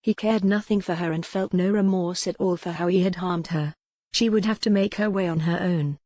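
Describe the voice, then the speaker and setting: A woman narrating a historical romance audiobook in a measured, careful storytelling manner. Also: on the low side, steady tone, mellow, no room sound.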